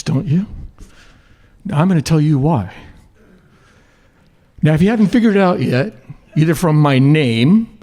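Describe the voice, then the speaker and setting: A man telling a deadpan tall tale on stage in an event hall, chatting with the audience through rhetorical questions. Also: fairly high pitch. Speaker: storyteller in a liars contest.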